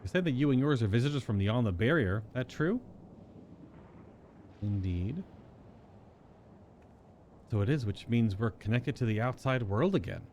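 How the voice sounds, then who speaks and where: A man voicing an earnest, contented farm worker from a fantasy game, reading the dialogue aloud in a polite, measured manner. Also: medium pitch, expressive, darkish tone, slight background noise, natural voice. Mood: friendly, content. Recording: music behind the voice.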